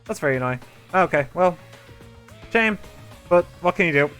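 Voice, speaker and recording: A man's voice: high voice, animated, moderate pace, fairly bright tone. A man streaming a game, chatting casually and rambling as he talks to his viewers. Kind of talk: casual let's play commentary. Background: game music.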